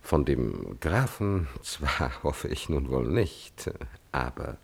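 An actor playing a scheming, cynical court chamberlain in a classical radio drama, smooth, insinuating and calculating in manner. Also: male voice, extremely low pitch, big pitch swings, slow pace, exaggerated voice. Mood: sly, scornful. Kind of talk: classical German stage-play radio acting.

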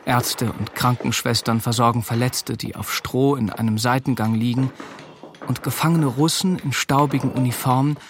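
A young man acting a wary, sharp-witted teenage soldier who also narrates in a radio play. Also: mid-range voice, steady tone, moderate pace, slight background noise, natural voice. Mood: observant, sombre. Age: young adult.

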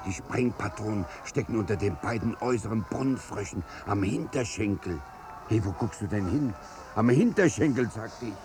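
An actor playing a jittery, touchy ex-convict sidekick in a 1950s German radio drama, excitable and naive in colloquial speech. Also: male voice, fairly low pitch, normal speed, background noise, close to an everyday voice. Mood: nervous.

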